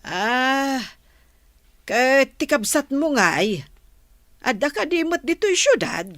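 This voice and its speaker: A woman's voice: sits high, sing-song, slow pace, fairly bright tone, no room sound, full character voice. A Filipino woman playing a chatty, inquisitive older village mother in a radio drama, full of interjections. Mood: curious, sympathetic.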